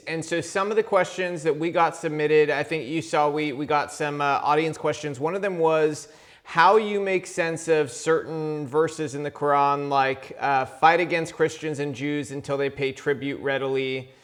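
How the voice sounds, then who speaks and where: A man's voice: a little high, steady tone, moderate pace, a bit bright. A male host asking an interview question in a hesitant way, full of fillers. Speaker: podcast host.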